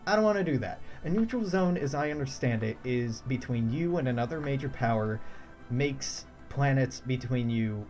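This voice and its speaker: A man's voice: mid-range voice, expressive, moderate pace, darkish tone, lo-fi sound, faint music behind. A young man from the United States casually thinking aloud while streaming a strategy game, chuckling often. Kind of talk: casual let's-play commentary.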